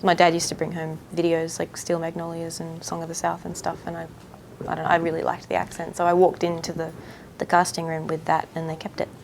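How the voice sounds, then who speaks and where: Gravelly young Australian woman, flat. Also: lowish voice, brisk, a little hiss or hum. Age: young adult. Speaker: actress guest. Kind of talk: casual interview chat. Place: backstage room at a theater.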